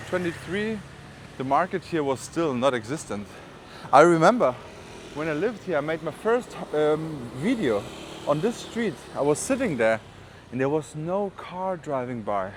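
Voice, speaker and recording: A man from Germany with a German accent, chatting casually and rambling with informal asides in a walking vlog. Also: mid-range voice. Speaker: vlogger. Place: outdoors on city streets while walking. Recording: outdoor street noise, passing traffic. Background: urban street ambience, vehicles.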